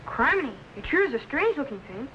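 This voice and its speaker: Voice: high-pitched, very animated, fast talker, sharp tone, slight background noise, full character voice, low-quality recording. A performer playing an eager, inquisitive young boy assistant in a 1950s science fiction serial. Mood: curious, amazed. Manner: wide-eyed questioning, dutiful repeating of orders.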